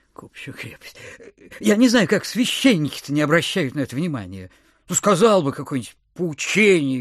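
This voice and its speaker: An actor playing a miserly, suspicious old landowner in an audio drama, muttering and repeating his words in a querulous, husky voice. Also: on the high side, no room sound, studio quality, exaggerated voice. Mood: querulous grumbling. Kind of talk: Russian audio drama acting.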